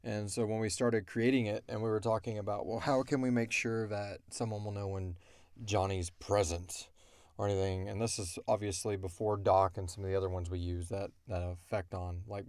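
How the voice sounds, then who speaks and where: A man's voice: on the low side, a little mellow, dry recording. A man chatting casually as a podcast co-host, rambling and informal as he explains things. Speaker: podcast co-host and audio drama creator.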